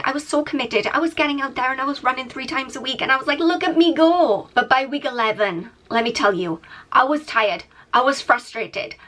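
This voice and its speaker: A woman's voice: high-pitched, pushed and bright. Chatty young woman vlogger. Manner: chatty, self-mocking, laughs often. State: congested from a cold. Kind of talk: casual vlog narration.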